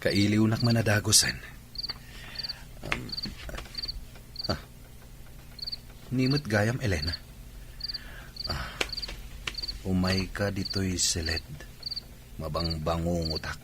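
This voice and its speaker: A man's voice: low-pitched, lively intonation, takes it slowly, long pauses, very noisy, full character voice. A man playing an irritable, evasive husband in an Ilocano audio drama, with an Ilocano Filipino accent. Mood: muttering.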